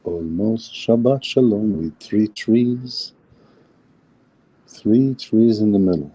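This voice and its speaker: Husky low-voiced man with an Israeli accent. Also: slow pace, warm tone, rough recording. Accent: Israeli Hebrew. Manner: reflective, repeats phrases and restarts often. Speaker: host of a weekly Torah talk. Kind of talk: casual spiritual talk. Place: indoors at a seaside hotel.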